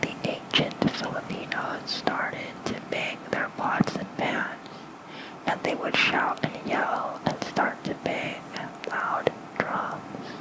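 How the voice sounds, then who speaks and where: A very soft, fully whispered voice reads bedtime lore in an ASMR style. The delivery is slow, gentle and soothing. It is breathy and close on a fluffy mic. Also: low-quality recording. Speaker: ASMR host reading lore. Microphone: close fluffy-covered microphone.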